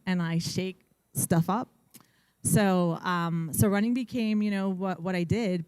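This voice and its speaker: A woman's voice: lowish voice, warm tone, smooth, dry recording. A woman from Colombia, reflecting on her own story as an interview guest. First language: Spanish. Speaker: guest, runner and immigration lawyer. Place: live event stage.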